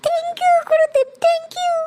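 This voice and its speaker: A little lonely ghost for a comedy cartoon. The voice is extremely high and childlike. It is eager and whiny, pleading with repeated words. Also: steady tone, slow, noisy background. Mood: grateful. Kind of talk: Bengali comedy cartoon dubbing.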